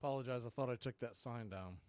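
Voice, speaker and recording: A man's voice: mid-range voice, fast talker, a little mellow, clean tone, no room sound. A man hosting live baseball play-by-play, giving punchy calls and folksy, opinionated commentary. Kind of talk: live radio sports commentary.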